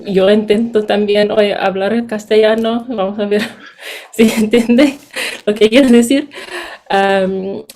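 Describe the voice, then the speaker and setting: Mellow woman speaking careful Spanish. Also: medium pitch, fairly even intonation. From United States. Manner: careful and measured, sometimes searching for words. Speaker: postdoctoral education researcher. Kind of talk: seminar presentation.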